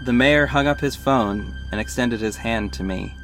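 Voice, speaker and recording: A man's voice: medium pitch, normal speed, fairly bright tone, faint music behind, lightly acted, rough recording. A man playing a confident, flirty detective and secret vigilante, narrating an audio drama in the first person, conversational and confiding. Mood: composed. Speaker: narrator and lead character. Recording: faint background noise.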